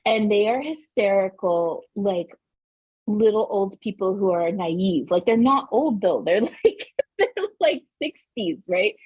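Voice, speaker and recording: A woman from Southern New Mexico whose first language is Spanish, telling family stories as a podcast guest in an animated way, laughing often and saying "like" a lot. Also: mid-range voice, a little slow, breathy edge, dry recording, dull audio. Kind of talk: casual interview storytelling.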